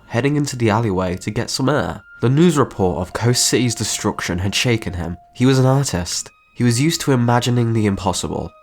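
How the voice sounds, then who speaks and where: A man reading scripted, dramatic narration for a story. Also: mid-range voice.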